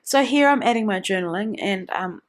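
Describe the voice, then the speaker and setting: A woman getting over the flu, sounding nasal, narrating a craft video in a chatty, meandering way with many pauses. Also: mid-range voice, animated. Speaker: scrapbooking vlogger.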